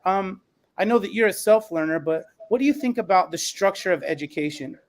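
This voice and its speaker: A man with a somewhat high-pitched voice moderates an educational panel. He speaks like an encouraging educator, affirming guests and setting up questions for them. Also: lively intonation, brisk.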